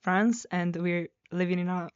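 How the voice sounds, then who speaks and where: A young Italian woman chatting casually in a student room, her voice smooth and resonant. The sound is close and dry, with a slightly dull, lo-fi edge. She talks freely and now and then gropes for English words. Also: fairly low pitch, fairly even intonation, unhurried, no room sound. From Sardinia, Italy. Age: 20s. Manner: chatty, searches for English words, slips into French. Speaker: guest. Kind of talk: casual podcast chat.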